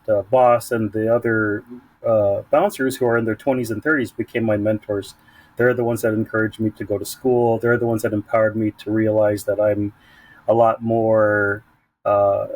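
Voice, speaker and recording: A man from San Diego answers interview questions in a fairly low voice. He talks haltingly, full of fillers and restarts as he gathers his thoughts. The sound is dry and close, with no room echo. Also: darkish tone. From San Diego, United States. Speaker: community program leader, guest. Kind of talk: conversational interview.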